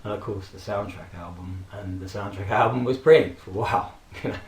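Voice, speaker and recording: A man in his forties, hoarse from a throat infection, casually rambling and reminiscing with frequent fillers and laughs. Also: lowish voice, moderate pace, a little mellow, reverberant. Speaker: vlogger. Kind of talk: casual personal tribute monologue.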